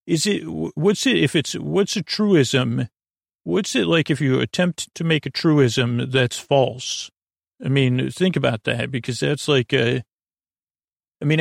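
Male podcast host, gravelly fry, dry close sound, halting whimsical bedtime rambler. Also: mid-range voice, dry recording. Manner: meandering, halting, stammering with trailing tangents; whimsical, mock-earnest musing.

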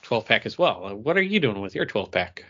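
A man's voice: on the low side, very animated, fairly quick, pushed and bright. A man hosting a podcast in casual chat, teasing and repeating himself for emphasis. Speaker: podcast host. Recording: dull, lo-fi sound.

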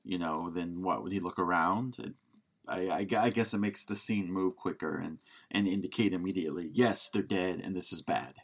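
Low-voiced man hosting a casual podcast. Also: normal speed. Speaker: podcast host. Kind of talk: casual film discussion.

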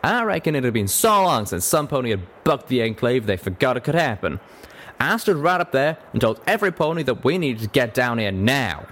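A man's voice: medium pitch, sing-song, fairly quick, a bit bright, pitched above the performer's own voice. A man voicing a young, plain-spoken pegasus cowboy and bashful ex-captain with a folksy Southern or Western American drawl, in an audio story. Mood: wry, defiant. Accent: American South or West drawl.

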